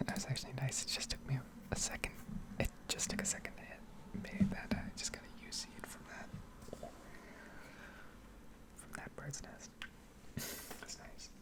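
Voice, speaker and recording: A woman's voice: moderate pace, long pauses, rough recording. Whispering woman, casual ASMR ramble. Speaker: game streamer and ASMR video creator.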